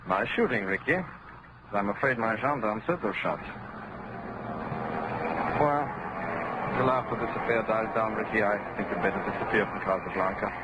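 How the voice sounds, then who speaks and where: An actor playing an urbane, slippery police captain in a 1940s radio drama, dry and suave. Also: male voice, on the low side, moderate pace, long pauses, natural voice. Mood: amiable, wry. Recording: noisy old radio drama recording.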